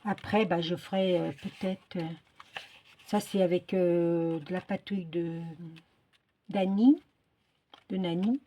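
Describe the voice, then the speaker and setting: A woman's voice: on the low side, slow, long pauses, mellow, slight background noise. A middle-aged or older woman chatting casually in a craft vlog, hesitant and often searching for her words. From Portuguese descent. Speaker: craft video creator.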